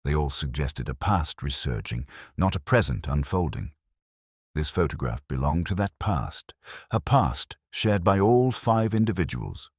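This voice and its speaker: A man narrating a first-person detective story in a measured, brooding literary manner. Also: a bass voice, big pitch swings, breathy edge, no room sound, studio quality.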